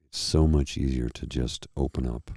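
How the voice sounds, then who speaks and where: Deep, dark-voiced man speaking earnestly. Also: steady tone, slightly rough, no room sound, studio quality. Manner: slow, earnest, heartfelt. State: tired and a little froggy-throated. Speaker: host. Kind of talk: candid personal talk to viewers.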